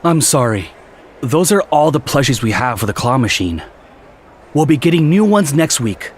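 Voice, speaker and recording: A man's voice: mid-range voice, very animated, normal speed, a little hiss or hum, natural voice. A man playing a polite, apologetic arcade attendant with a courteous customer-service delivery in game dialogue.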